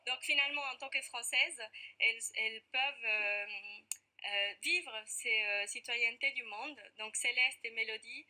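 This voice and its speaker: Woman, Argentine accent, high-pitched, very bright, earnest, hesitant, TV interview guest. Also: a little slow, low-quality recording. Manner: earnest, many hesitations with "euh". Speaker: guest, parent activist. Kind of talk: TV interview answers. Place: TV studio.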